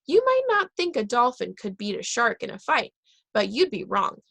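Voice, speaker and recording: A woman reading a children's book aloud, pausing and correcting herself now and then. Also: fairly high pitch, sing-song, creaky, fairly bright tone, dry recording. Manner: reads aloud with pauses and self-corrections. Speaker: reader.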